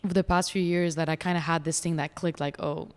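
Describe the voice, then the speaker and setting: A woman in her twenties, raised in France and Dubai, guest on a chat podcast, talking reflectively and often pausing to search for words. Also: fairly low pitch, steady tone, darkish tone, smooth, long reverb tail. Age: 20s. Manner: reflective, searching, many fillers.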